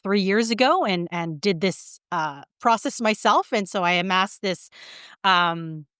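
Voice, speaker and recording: Woman, 30s, interview guest; smooth clear tone, dry mic, chatty and animated delivery. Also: mid-range voice, unhurried, dry recording. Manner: animated storytelling with many fillers and restarts. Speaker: guest, vegan writer and publicist. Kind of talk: casual interview talk.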